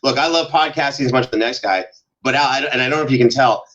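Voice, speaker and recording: Expressive man on dull lo-fi audio. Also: medium pitch, fast talker. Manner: emphatic, expressive, enthusiastic. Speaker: podcast guest, show producer. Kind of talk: animated casual interview talk.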